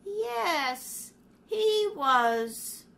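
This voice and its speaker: A woman's voice: extremely high pitch, big pitch swings, vocal fry, fairly bright tone, heavily acted. A woman playing an entranced society woman. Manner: slow, dreamy, entranced. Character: hypnotized young society woman, dazed. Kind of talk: 1930s radio drama reenactment.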